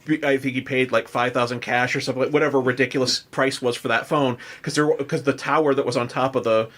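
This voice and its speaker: American dude podcast host, medium pitch, rambly casual storytelling. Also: male voice, fairly even intonation, fast talker, a bit bright. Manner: rambling, frequent "like".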